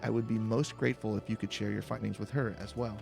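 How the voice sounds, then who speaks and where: A man reading video game dialogue aloud in a calm, slow, deliberate way for a let's play. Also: on the low side, gravelly. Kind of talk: calm, slow-paced read-aloud narration. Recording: faint background music. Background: faint game music.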